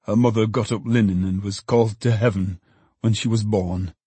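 A man plays a meek old porter speaking low and quietly, husky and dry. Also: steady tone, moderate pace, no echo. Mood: subdued. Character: humble older male porter, plain and meek.

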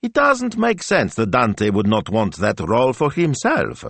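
Man putting on a pouty young woman, high-pitched. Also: huge pitch swings, unhurried, gravelly, fairly bright tone, dry recording, full character voice. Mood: puzzled, worried. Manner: pouty, breezy, earnest. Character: self-absorbed, exasperated, chatty young woman on her phone.

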